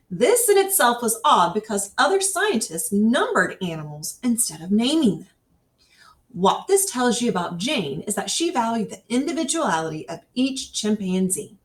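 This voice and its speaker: A woman reads an educational nonfiction text aloud as a scripted narration, with careful, clearly phrased delivery. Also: mid-range voice. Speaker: reader. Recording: distant microphone.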